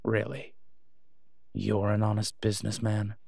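A man plays a brash masked vigilante in an audio drama. His voice is fairly low and sarcastic, full of dry taunts, with a close, dry recording. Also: completely flat delivery, measured pace, vocal fry, mellow, dry recording. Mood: mocking.

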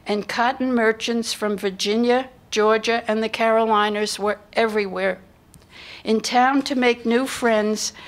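An older woman reading scripted historical narration into a microphone on stage. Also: fairly high pitch. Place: auditorium stage before an audience. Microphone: microphone on a stand.

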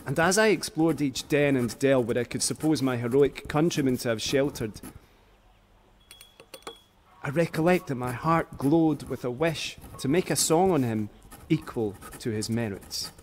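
A man's voice: medium pitch, a little slow, slight background noise, close to an everyday voice. An actor playing a proud, ardent young Scottish poet of the 1700s, reading his letters formally and poetically in a radio drama. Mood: ardent, reverent.

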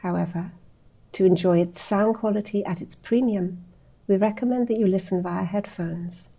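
A middle-aged woman from an archive's outreach team giving a measured, formal scripted introduction, recorded on a lapel mic in a small room. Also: mid-range voice, mellow, husky.

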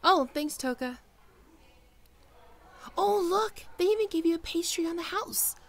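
Woman acting a lively, friendly young ghoul girl. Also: very high-pitched, a little slow, vocal fry, heavily acted. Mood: friendly, casual. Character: friendly young female ghoul, listener's caring best friend. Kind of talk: ASMR roleplay, acted one-sided dialogue.

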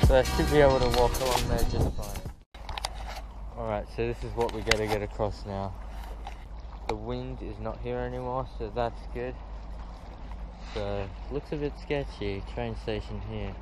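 A man's voice: mid-range voice, normal speed, poor audio. A teenage man chatting casually into an action camera while exploring a windy waterfront, with hesitant asides and little laughs. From Australia. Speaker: vlogger. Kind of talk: casual vlog narration. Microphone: action camera on hand or head. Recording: wind noise, music underneath.

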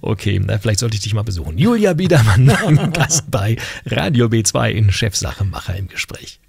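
A man's voice: lowish voice, sing-song, moderate pace, creaky, darkish tone, breathy edge. A middle-aged man hosting a casual radio interview in a teasing, jovial manner, close on a studio microphone. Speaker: radio talk show host. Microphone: close broadcast microphone on boom arm.

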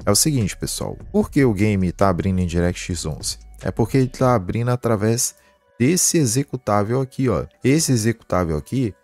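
Casting: Brazilian male gaming YouTuber, lowish pitch, colloquial hands-on tutorial delivery over music. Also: expressive, normal speed, a little mellow, dry recording. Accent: Brazilian Portuguese. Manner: colloquial, step-by-step pointing out with frequent verbal tags. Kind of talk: informal screen-share tutorial with live commentary.

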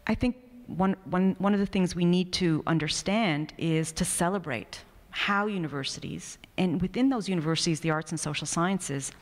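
Woman, middle-aged academic keynote speaker; lowish voice, earnest and measured, echoing hall. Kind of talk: prepared academic keynote speech. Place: indoor university hall at a lectern. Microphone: microphone on a stand, close in front. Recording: reverberant hall through microphone.

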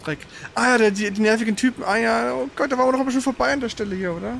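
A man with a high-pitched voice hosts a live gaming stream. He comments casually as he plays. His speech rambles, with frequent stutters and self-corrections. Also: rapid-fire, creaky, fairly bright tone, slight background noise. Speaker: host of a gaming livestream.

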